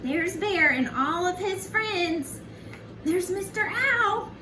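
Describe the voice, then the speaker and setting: A woman with a very high, lively voice reads a picture book to little children. She is sing-song and unhurried, stopping for chatty asides to her young listeners. The recording sounds roomy and a bit distant from the microphone. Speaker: children's storyteller, early childhood educator. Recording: roomy, distant mic, faint background noise.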